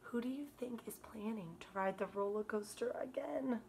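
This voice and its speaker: A woman in her thirties, a kindergarten teacher, reading a picture book aloud to children in an animated, expressive way. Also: fairly high pitch, gravelly, slight background noise. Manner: animated, expressive, drawn-out emphasis on words. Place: small living room by a fireplace, indoors.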